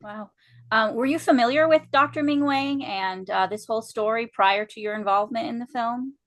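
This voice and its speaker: A woman's voice: on the high side, moderate pace, fairly bright tone. Woman host asking curious questions. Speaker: interview host. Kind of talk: casual interview chat.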